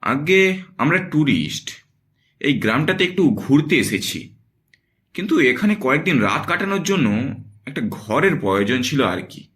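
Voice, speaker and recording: A man's voice: fairly high pitch, very animated, moderate pace, long pauses, fairly bright tone. A man playing a serious, polite young investigator in a horror cartoon, speaking earnestly and expressively. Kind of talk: Bengali horror cartoon voice acting.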